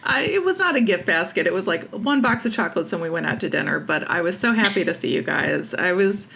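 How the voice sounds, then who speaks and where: A woman's voice: fairly low pitch, expressive, fast talker, gravelly, bright, forceful tone, slight background noise. A woman chatting casually as an interview guest, with a wry, self-deprecating way of talking. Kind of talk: casual chat.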